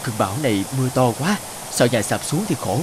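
An actor playing a humble, gentle husband in an animated moral tale, earnest and plain-spoken. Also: male voice, medium pitch, brisk, background noise, natural voice. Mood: anxious, pleading. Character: worried, humble poor husband, gentle and conciliatory. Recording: music behind the voice.

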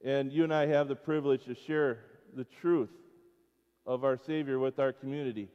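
A middle-aged American man giving an informal children's sermon in a church, halting between short phrases and putting questions to his listeners. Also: mid-range voice, steady tone, clean tone. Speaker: school chapel speaker. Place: church sanctuary, speaking before schoolchildren. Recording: dull, echoing church hall pickup.

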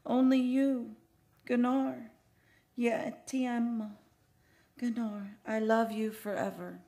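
A woman's voice: a little high, flat delivery, slow pace, vocal fry, mellow. A woman playing the lovelorn ghost of a Spanish sea captain's daughter in an old-time radio drama, with solemn, archaic delivery. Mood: wistful. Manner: solemn, archaic, declamatory phrasing.

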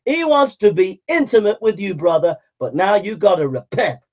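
A man's voice: sits high, sing-song, normal speed, dull audio. A man preaching a fiery, confrontational sermon, scolding his listeners with urgent, repetitive calls to repent.

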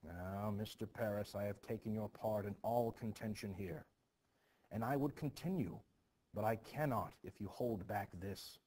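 A man reading the part of a forceful, scheming village man in a stage play, commanding and insistent. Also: fairly low pitch, moderate pace, slight background noise, natural voice.

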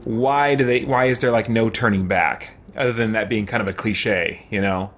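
A man's voice: lowish voice, normal speed, a bit bright, a little hiss or hum. A middle-aged man giving casual let's play commentary, musing and thinking aloud. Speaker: game streamer. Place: room with shelves at home.

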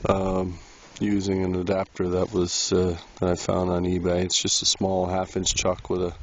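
A man casually narrating an ice-fishing trip, halting with many fillers, recorded outdoors on a frozen lake. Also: sits low, monotone. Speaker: outdoor vlogger. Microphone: handheld camera mic. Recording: wind noise on a lo-fi camera mic.

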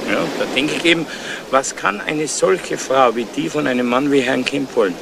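An actor playing a fretful, suspicious insurance agent in a 1970s German crime radio play, talking in an insinuating, emphatic way. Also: male voice, mid-range voice, normal speed, creaky, noisy background, natural voice. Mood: worried, insistent.

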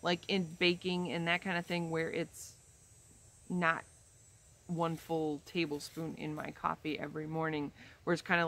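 A woman's voice: deep voice, fairly bright tone, a little hiss or hum. A woman of about fifty chatting casually to camera from a backyard chair, rambling with frequent ums and restarts. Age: 50s. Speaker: vlogger. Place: outdoors in a leafy backyard, seated in a chair. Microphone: camera in front, head and shoulders framing.

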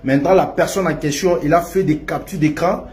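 A young man with a Congolese French accent, giving a halting, repetitive video statement to clear his name. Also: fairly high pitch, steady tone, a little mellow. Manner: halting and repetitive, many restarts and fillers.

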